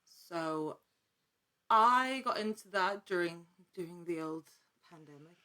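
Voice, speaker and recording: A woman talking casually as an interview guest, telling her story in an animated, chatty way. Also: lowish voice, slow pace. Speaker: podcast guest, actor.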